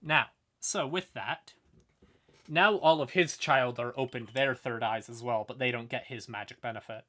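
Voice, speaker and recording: Male livestream host, conversational and sweary lore explainer, mid-pitched voice with bright, punchy tone. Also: moderate pace.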